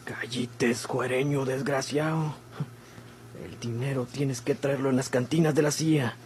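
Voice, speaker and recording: A man's voice: medium pitch, steady tone, unhurried, darkish tone, lightly acted. Callous Mexican gunman acted by a man, noisy recording. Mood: gloating. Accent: rural Mexican. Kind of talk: golden-age Mexican radio drama acting. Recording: noisy old radio drama recording.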